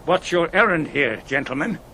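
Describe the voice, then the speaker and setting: A man's voice: a little high, lively intonation, moderate pace, pushed and bright, slight background noise. An actor playing an earnest, wary village watchman in a radio drama, plain-spoken and deferential. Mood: wary challenge.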